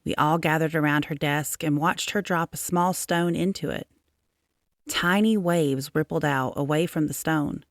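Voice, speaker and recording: A woman narrates a children's picture book in a low voice. She takes her time, with measured, expressive phrasing, and the sound is close and dry. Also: dry recording. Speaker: storybook reader. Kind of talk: children's read-aloud narration.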